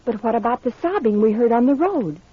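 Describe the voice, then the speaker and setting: A young woman playing an anxious, earnest heroine in a 1940s radio mystery, halting and emotional. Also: on the high side, animated, breathy edge. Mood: earnest, distressed. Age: young adult. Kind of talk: 1940s old-time radio melodrama acting. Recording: dull, lo-fi vintage radio recording.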